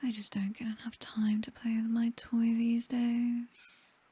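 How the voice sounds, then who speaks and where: A woman with a British accent playing a seductive, possessive vampire mistress in a whispered ASMR roleplay, coaxing and commanding in a soft, breathy voice. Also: fairly high pitch, monotone, dry recording. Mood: gentle, possessive. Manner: slow, coaxing, hypnotic, teasingly commanding.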